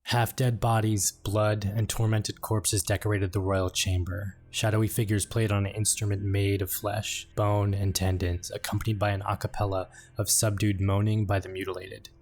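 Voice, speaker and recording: A man giving a solemn, portentous scripted narration of dark fantasy lore. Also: on the low side, level tone, normal speed, hardly any pauses. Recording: background music under the voice.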